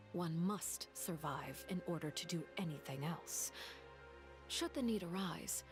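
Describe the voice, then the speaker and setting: A woman playing a poised, calculating villainess in a video game, courteous, formal and measured. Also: on the low side, natural voice.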